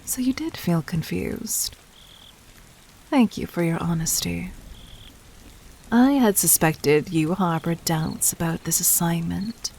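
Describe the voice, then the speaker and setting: A woman playing a regal, cunning, gently dominant demon queen in a roleplay audio drama, speaking formally and intimately with measured, breathy poise. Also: on the low side, a little hiss or hum. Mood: poised, knowing. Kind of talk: scripted roleplay audio drama addressed to the listener.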